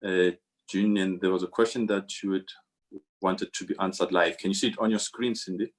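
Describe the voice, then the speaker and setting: A man's voice: low-pitched, steady tone, brisk. A man moderating an online panel, reading out questions hesitantly with many fillers.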